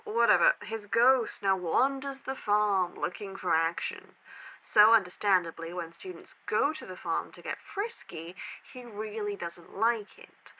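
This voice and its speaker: A woman's voice: medium pitch, pushed and bright, rough recording. A woman playing a skeptical young college student and podcast co-host in an audio drama, dry and sardonic in manner. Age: young adult.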